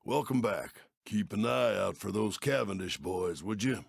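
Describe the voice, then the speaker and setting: Gravelly old Western sheriff. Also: male voice, fairly low pitch, lively intonation, a little slow, dry recording, studio quality, exaggerated voice. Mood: friendly, grateful. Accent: American Western. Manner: folksy, drawling, neighborly phrasing. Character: folksy, aging, kindly but worried Old West sheriff. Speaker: mission-giving game character. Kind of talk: video game character acting.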